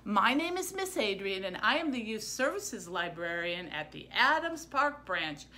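A middle-aged American woman giving an informal book talk to camera as a librarian, halting and full of ums. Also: medium pitch, sing-song, bright, forceful tone. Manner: halting, hesitant, with very frequent ums. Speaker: librarian and book-stream host. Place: small plain indoor room. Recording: noticeable background noise, room ambience.

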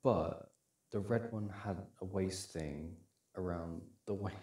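A young man performing casual ASMR boyfriend roleplay as an easygoing, affectionate partner, talking in a hesitant, halting way. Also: sits low, mellow. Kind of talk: ASMR boyfriend audio roleplay, casual one-sided chat. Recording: clearly audible background noise.

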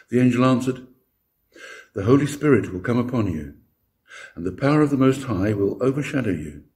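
Mellow older man preaching, very animated pitch. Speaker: lay preacher. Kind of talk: scripture reading and sermon. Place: church interior.

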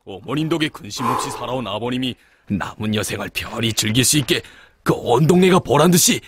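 A man's voice: medium pitch, animated, brisk, very noisy, lightly acted. An actor voicing a confident eldest son in a cartoon, assured and bossy toward his wife.

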